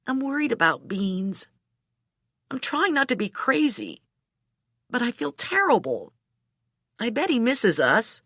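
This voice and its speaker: Lady reader doing a wry sensible gal in an audiobook, teasing, pitch jumps around a lot. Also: huge pitch swings, a little slow, fairly bright tone, no echo, clean studio sound, full character voice. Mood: tearful worry. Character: wry, sensible woman calming her hot-headed male friend. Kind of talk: audiobook character dialogue.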